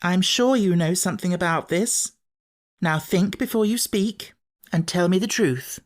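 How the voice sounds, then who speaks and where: A man's voice: high voice, unhurried, voice pushed up. A man voicing a genteel mother in an audiobook, proper and kindly but firm and anxious. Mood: stern. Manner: proper, questioning, motherly authority. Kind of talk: audiobook character acting.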